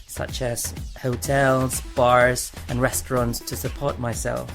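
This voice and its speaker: A man in his forties from Malaysia, a native Malay speaker, reading prepared interview answers in a careful, slightly formal way. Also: medium pitch. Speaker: interview guest, singer. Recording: music playing underneath. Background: music.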